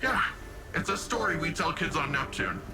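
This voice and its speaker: A breezy young guardian. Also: male voice, a little high, big pitch swings, moderate pace, music in the background. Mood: casual. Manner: casual and breezy. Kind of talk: video game cutscene acting. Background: loud orchestral score.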